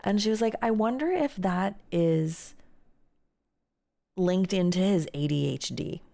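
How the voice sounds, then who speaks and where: Young woman streamer, low gravelly voice, just chatting with chat. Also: unhurried, warm tone. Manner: chatty, reads viewer messages aloud and answers them. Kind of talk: casual chat reading chat aloud.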